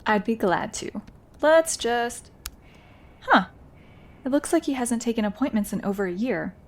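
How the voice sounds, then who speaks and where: A woman's voice: fairly high pitch, expressive, unhurried. A woman acting as a polite, chatty office receptionist in an audio drama. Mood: polite, curious.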